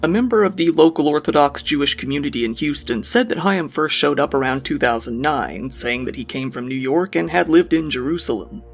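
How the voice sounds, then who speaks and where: A man giving a scripted true crime narration in an even, measured reading. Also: on the high side, gravelly, faint music behind.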